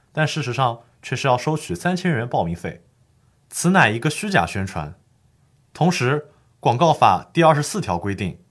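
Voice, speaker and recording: A man's voice: medium pitch, slightly rough. A man playing an earnest lawyer who formally explains the law in a scripted educational radio drama. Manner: formal, didactic. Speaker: legal expert host.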